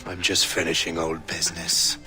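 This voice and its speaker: Very deep, grave older actor playing a Templar. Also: male voice, flat delivery, music in the background, natural voice. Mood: solemn resolve. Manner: measured, solemn. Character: grave, world-weary older Templar man, coldly resolute. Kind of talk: solemn scripted game narration.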